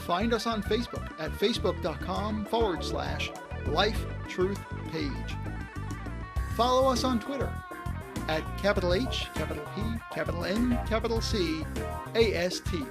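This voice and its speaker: An announcer reading scripted closing credits in an even, formal way. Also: mid-range voice, normal speed. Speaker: show announcer. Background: clear guitar music.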